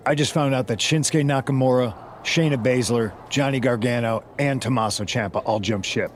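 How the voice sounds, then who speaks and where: A man's voice: medium pitch, normal speed, a little hiss or hum, natural voice. A man playing a serious, pragmatic wrestler in a video game cutscene. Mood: concerned, matter-of-fact. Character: serious, pragmatic male wrestler delivering team updates.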